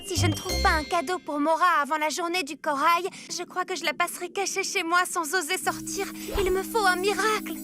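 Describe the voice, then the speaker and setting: High voice, anxious little sister, earnest, children's cartoon dub, music behind. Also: female voice, steady tone, brisk, few pauses. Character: anxious, devoted young girl, a little sister. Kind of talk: dubbed children's cartoon acting.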